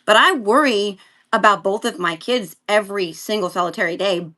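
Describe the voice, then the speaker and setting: A woman's voice: mid-range voice, moderate pace, bright, forceful tone. A middle-aged American woman talking candidly as a talk show guest, emphatic and repeating phrases to drive points home. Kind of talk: candid political interview chat.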